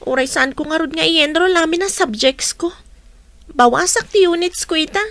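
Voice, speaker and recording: A woman's voice: very high voice, normal speed, a bit bright, no room sound. A woman with an Ilocano accent acting a village family role in a radio comedy drama. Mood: frustrated.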